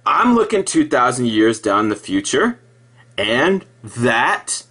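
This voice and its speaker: A man delivering an improvised sarcastic rant in an exasperated, mocking way, halting and repeating himself, with profanity. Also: mid-range voice, sing-song, pushed and bright, slightly rough. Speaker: video commentator.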